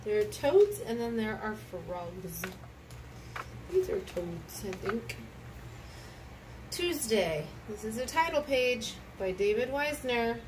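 A woman with a fairly high voice reads a picture book aloud in a casual, chatty way to a child. She exclaims and prompts with questions. The mic is some distance from her, with noise clearly audible. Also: animated, takes it slowly.

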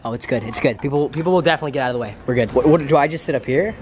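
Fast-talking young guy on noisy streets. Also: medium pitch. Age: young adult. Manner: fast, playful, joking, often laughing. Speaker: vlogger. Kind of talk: excited casual vlogging. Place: outdoors on city streets. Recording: loud street and traffic noise.

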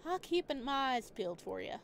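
A woman's voice: sits high, very animated, moderate pace, gravelly, slight background noise, voice pushed up, full character voice. A woman voicing a male book-stall shopkeeper in a visual novel, giving short, plain replies. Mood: matter-of-fact. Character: terse, helpful male market shopkeeper.

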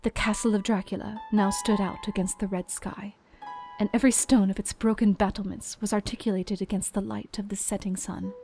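An actress playing a grieving young Victorian wife, narrating an audio drama in a solemn, dramatic way. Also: female voice, medium pitch, little pitch movement, brisk, warm tone, soft background music, lightly acted. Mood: solemn, sorrowful.

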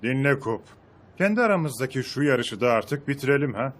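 A man playing an excitable car show announcer in a cartoon dub, with a showy, formal way of presenting. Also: medium pitch, moderate pace, lightly acted. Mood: conciliatory. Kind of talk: Turkish cartoon dub acting.

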